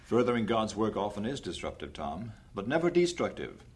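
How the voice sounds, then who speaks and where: A man playing an earnest, confident bishop who directs a mission, speaking in a measured, persuasive way in an old-fashioned radio drama. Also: on the low side, very animated. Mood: firm, earnest.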